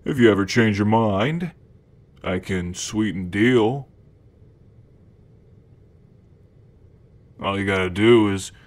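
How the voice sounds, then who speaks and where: A man playing a cocky, food-obsessed male demon in an ASMR roleplay, talking to the listener in a casual, hesitant slacker drawl. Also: lowish voice. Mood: teasing temptation.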